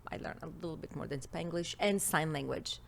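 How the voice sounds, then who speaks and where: Lower-voiced Israeli woman expert. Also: fairly quick, vocal fry, a little hiss or hum. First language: Hebrew. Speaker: relationship expert guest. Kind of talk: interview conversation. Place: podcast studio.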